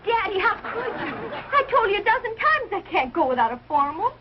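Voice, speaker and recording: A young woman playing an excitable, adoring teenage daughter in a 1940s TV sitcom, gushing and dramatic. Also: extremely high pitch, fairly quick. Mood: upset. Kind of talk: 1940s live TV sitcom acting. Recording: dull, noisy old TV soundtrack.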